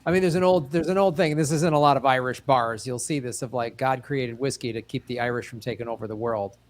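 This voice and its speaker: A man's voice: mid-range voice, quick pace, no room sound. An American man, a comedian guest, chatting loosely in an interview, laughing easily and using many fillers. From United States. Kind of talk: casual interview chat.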